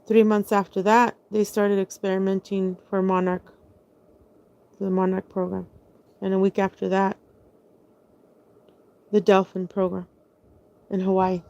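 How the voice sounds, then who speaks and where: A woman's voice: mid-range voice, level tone, measured pace, gravelly, pauses often, dark tone. A woman telling her own story in a casual, rambling monologue, hesitating often, restarting sentences and spelling out words letter by letter.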